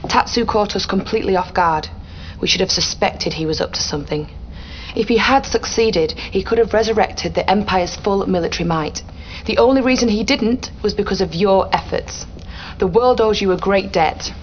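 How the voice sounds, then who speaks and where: A woman's voice: medium pitch, normal speed, lo-fi sound, slight background noise, lightly acted. A woman playing an earnest, grateful military officer in a game cutscene, speaking formally and sincerely to her commander. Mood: relieved, grateful. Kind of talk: dramatic video game cutscene acting.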